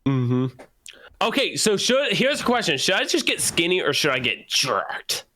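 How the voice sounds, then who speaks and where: A young man co-hosting a casual podcast, excitable and swearing, laughing often as he jumps between topics. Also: sits high, moderate pace. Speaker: podcast co-host. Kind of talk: casual chat.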